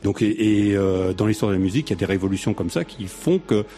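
A man's voice: on the low side, quick pace, mellow, faint music behind. A man in his fifties hosting a casual radio chat, rambling and hesitant, joking with his guest. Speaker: radio host.

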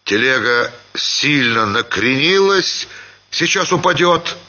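A man reading a literary short story as expressive scripted narration, with dramatic, theatrical phrasing. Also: mid-range voice, slow pace, lo-fi sound.

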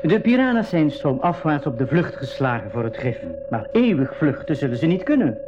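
Radio play expedition leader, male, rather high voice, confident and reassuring, muffled 1980s recording. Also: very animated, brisk, background noise. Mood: grave, confident. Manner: assured, explanatory. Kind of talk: 1980s school radio drama acting.

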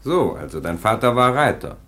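An actor playing a commanding police chief who gives curt orders in a radio play. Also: male voice, on the low side, very animated, moderate pace, a bit bright.

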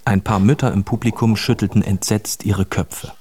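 Low-voiced male radio-drama narrator. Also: steady tone, moderate pace, darkish tone, slightly rough.

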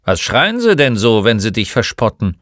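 A man playing a gruff, mocking old shopkeeper in a storybook, with a blunt, grumbling manner. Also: on the low side, big pitch swings, normal speed, fairly bright tone, no echo, clean studio sound. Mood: probing. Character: gruff, mocking old male bookshop keeper. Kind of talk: storybook character voice.

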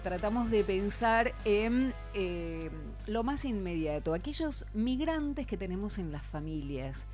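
A woman's voice: medium pitch, animated, slow pace, darkish tone, faint music behind. Rioplatense woman hosting an educational radio segment. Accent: Rioplatense Spanish. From Buenos Aires. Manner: explanatory, with many fillers and restarts. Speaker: radio host and teacher. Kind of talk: radio talk.

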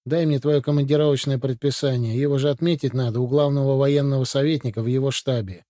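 A man voicing a brisk, commanding Soviet military adviser in an audiobook, in a matter-of-fact briefing manner. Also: mid-range voice, steady tone, mellow, no echo, clean studio sound, lightly acted. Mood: hurried. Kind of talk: audiobook reading.

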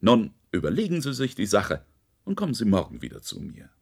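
Radio play: pompous, excitable superior, lecturing, hugely varied intonation, gravelly creak. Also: male voice, fairly low pitch, a little fast, full character voice. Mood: exasperated, scolding. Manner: theatrical, lecturing, emphatic. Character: pompous, excitable male superior courting his deputy. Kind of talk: German radio play acting.

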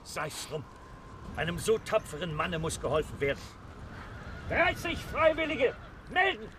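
An actor playing a stern, duty-bound army captain commanding a fort in an audio drama, firm and formal. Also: male voice, sits high, wildly swooping pitch, measured pace, a bit bright, slight background noise, exaggerated voice.

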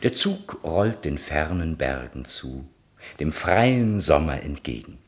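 A man with a low voice narrates a scripted radio play. His delivery is slow and measured, yet the melody moves widely as he sets the scene. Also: very animated.

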